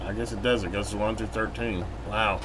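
A man's voice: lowish voice, brisk. An American man giving casual, rambling, plain-spoken commentary as he demonstrates electronics repair in a metal workshop building. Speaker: radio repair video host. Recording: steady background hum and radio noise.

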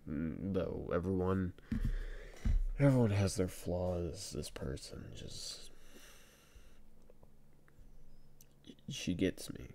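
A man playing a shy young best friend in an ASMR boyfriend roleplay, speaking softly and haltingly with stammers, in a very deep, gravelly voice. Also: expressive, a little hiss or hum, heavily acted. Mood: tender, admiring. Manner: hesitant, halting, stammering, soft-spoken.

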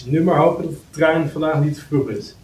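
A man's voice: on the high side, a little fast, darkish tone, close to an everyday voice. A man playing a jovial, teasing office colleague of fifty with chummy, mocking, colloquial banter at a script table read. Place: meeting room, indoors. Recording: roomy, distant mic, faint noise.

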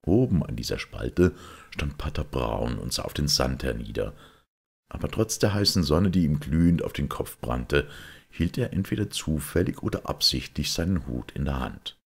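A man reading a detective story as scripted literary narration, in a measured, dramatic manner. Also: very deep voice, animated, creaky, husky. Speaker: audiobook reader.

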